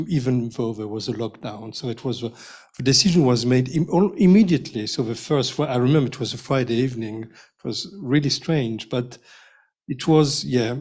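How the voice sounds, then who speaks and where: A man's voice: medium pitch, moderate pace, a little mellow. Male panelist, hesitant, self-mocking, casual. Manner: hesitant, laughs at himself.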